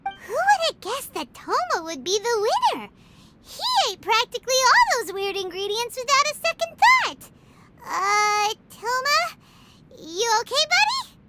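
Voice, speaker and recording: A woman voicing a tiny childlike fairy companion in a video game, squeaky, chirpy and excitable. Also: a little slow, very noisy, heavily acted. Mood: teasing, puzzled. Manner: excitable, speaks of herself in third person. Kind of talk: English video game voice acting.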